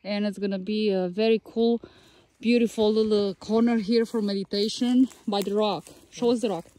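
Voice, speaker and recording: A woman's voice: mid-range voice, steady tone, normal speed, warm tone. A woman co-hosting an outdoor walking tour of a tree farm, chatty and enthusiastic, with loose, non-standard phrasing. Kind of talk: casual walking tour vlog.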